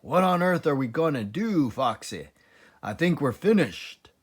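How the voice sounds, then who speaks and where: A man voicing an anxious, excitable father badger in a storybook, desperate and dramatic in manner. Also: a little high, unhurried, husky, full character voice. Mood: alarmed. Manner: dramatic, exclamatory, fretful.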